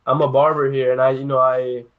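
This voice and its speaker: A man's voice: mid-range voice, flat delivery, fairly quick. A young man from New York, laid-back and using casual slang, talking as a guest. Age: young adult. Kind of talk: casual chat. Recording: thin phone-line audio.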